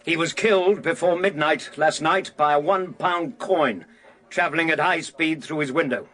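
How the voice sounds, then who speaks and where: A man's voice: on the high side, normal speed, sharp tone. An actor playing an unruffled, cheeky male crime correspondent giving radio reports in a drama. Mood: reporting.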